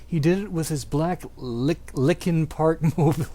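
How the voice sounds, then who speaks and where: A man's voice: a little high, moderate pace, dark tone. A man giving an exaggerated dramatic reading of fan fiction, prone to stumbles and breaking up. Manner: theatrical, exaggerated delivery. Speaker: amateur reader.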